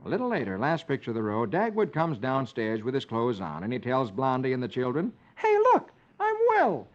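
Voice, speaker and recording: Male American radio storyteller, 1950s style: lively intonation, theatrical reading for kids, dull vintage recording. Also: medium pitch, fairly quick, faint music behind. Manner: theatrical, sing-song rhymes. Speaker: host reading comics to children. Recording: muffled old radio recording.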